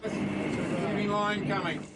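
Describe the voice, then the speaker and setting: High-pitched Australian man on noisy boat. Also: flat delivery, slow pace, gravelly. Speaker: coast guard crew member at work. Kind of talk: working calls and instructions on deck. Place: outdoors on a boat at sea. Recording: windy, noisy boat deck recording. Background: wind, boat engine noise.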